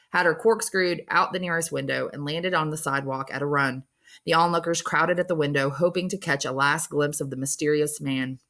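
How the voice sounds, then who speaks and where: Low-voiced woman reading a novel aloud. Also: a bit bright. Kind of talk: storybook narration, read aloud.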